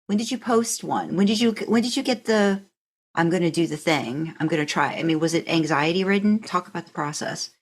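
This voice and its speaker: A woman's voice: on the low side, fairly quick. A woman interviewing a guest on a podcast in a casual, curious and encouraging way.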